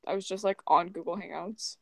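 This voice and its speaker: Monotone young woman on a phone mic. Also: on the low side, brisk, gravelly, no echo. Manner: flat, self-described monotone, mumbling. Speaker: guest. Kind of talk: casual chat. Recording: dry phone audio.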